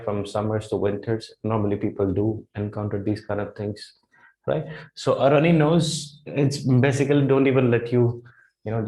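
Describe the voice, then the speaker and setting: A man in his thirties with an Indian English accent, teaching vocabulary at a whiteboard. He repeats phrases slowly and keeps checking in with "right?". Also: mid-range voice, darkish tone. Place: classroom in front of a whiteboard. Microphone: lapel mic. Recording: echoey classroom.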